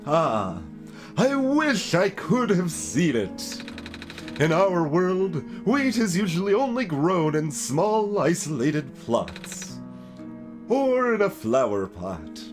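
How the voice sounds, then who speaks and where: A man voicing a gentle talking plant, a botanist in a game, with drawn-out, wistful musing. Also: high-pitched, wildly swooping pitch, over music, voice pushed up, full character voice. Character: gentle plant expert, a talking potted plant in shirt.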